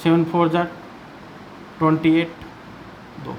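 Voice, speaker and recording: A man's voice: a little high, monotone, slow, gravelly, slight background noise, rough recording. An Indian man teaching maths in an explanatory lesson style, asking and answering his own questions and repeating key points.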